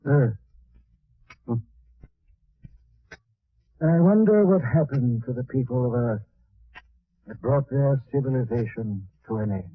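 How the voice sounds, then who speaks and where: An actor playing a methodical alien scientist in a 1940s radio drama, speaking in a deliberate, pondering way. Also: male voice, mid-range voice, lively intonation. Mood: thoughtful. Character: small alien professor, methodical, astonished scientist examining specimen. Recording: tinny band-limited vintage radio recording.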